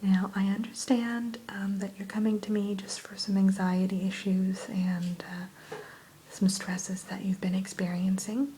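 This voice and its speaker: A woman playing a kind, reassuring therapist in a whispered ASMR roleplay, speaking gently and attentively without hurry. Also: medium pitch, little pitch movement, slight background noise, natural voice. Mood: gentle, welcoming. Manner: soothing, gentle, attentive, unhurried. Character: kind, reassuring female therapist welcoming a new patient.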